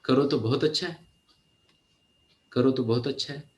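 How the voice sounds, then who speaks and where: A man's voice: medium pitch, normal speed, darkish tone. Echoey forties man, motivational mentor. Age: 40s. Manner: mixes English phrases into Hindi, asks "है ना?" often. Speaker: teenage mentor and coach. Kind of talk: motivational talk to young listeners.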